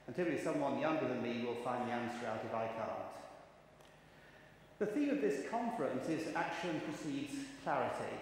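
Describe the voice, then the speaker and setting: A man's voice: medium pitch, expressive, normal speed. A man in his fifties giving a conference keynote, chatty and wry, telling anecdotes to the audience. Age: 50s. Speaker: keynote speaker. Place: large conference hall. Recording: echoey hall, faint ambient noise.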